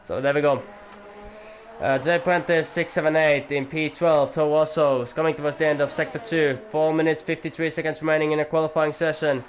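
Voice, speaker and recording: A man's voice: on the high side, level tone, a little fast, slight background noise. A young man giving live sim-racing commentary as the league's owner, rambling and chatty with jokey asides to viewers.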